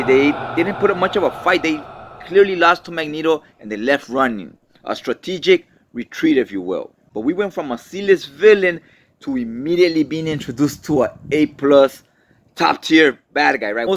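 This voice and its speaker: A man's voice: fairly high pitch, slight background noise. A man hosting a recap show, retelling comic book stories in an informal, slangy, conversational way with rhetorical asides to the listener.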